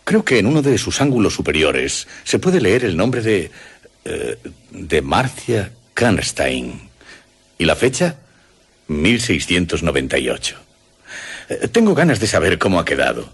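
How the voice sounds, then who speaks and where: Deep, swooping, kindly older gentleman. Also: very animated, a little slow, long pauses, breathy edge, heavily acted. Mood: admiring. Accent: Castilian Spanish. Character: kindly, dignified older gentleman, a doting father. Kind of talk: Spanish radio drama acting.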